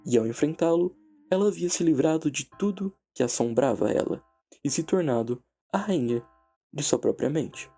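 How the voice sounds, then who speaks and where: A Brazilian man narrating a scripted horror analysis in a measured, dramatic way with deliberate pauses. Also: fairly high pitch, expressive, dark tone. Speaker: narrator and video essayist. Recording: background music under the voice. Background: music.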